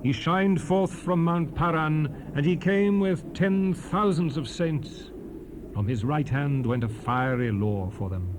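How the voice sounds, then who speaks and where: A man's voice: on the high side, slow pace. An actor playing Moses as a stern, fervent aged prophet, declaiming King James scripture in a solemn, emphatic, oratorical way. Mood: solemn blessing. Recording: noisy 1970s cassette rip with hiss.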